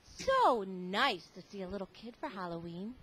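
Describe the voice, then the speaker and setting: A woman voicing a gentle neighbor lady greeting a trick-or-treater in a read-aloud storybook, soft and sweet. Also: mid-range voice, very animated, normal speed, husky.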